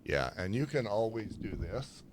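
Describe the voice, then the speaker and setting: A man interviewing a guest in a relaxed, casual way and giving calm advice about microphone setup. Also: on the low side, moderate pace, a little hiss or hum. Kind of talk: casual chat.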